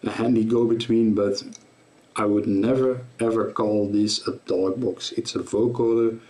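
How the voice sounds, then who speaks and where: A man giving an informal tutorial explanation as a presenter, in a halting, self-correcting way. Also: lowish voice, fairly even intonation, dark tone, low-quality recording. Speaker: presenter of guitar gear tutorial.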